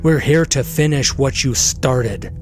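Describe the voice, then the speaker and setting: A man voicing a grim, steady male adventurer with a grave manner in a fantasy audio drama. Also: mid-range voice, a little slow, music in the background, pitched above the performer's own voice, close to an everyday voice. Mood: resolute.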